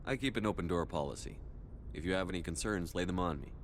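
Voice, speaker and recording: An actor playing a military starship commander in a game, firm and steady, with clipped authority. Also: male voice, low-pitched, quick pace, slight background noise. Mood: firm, probing.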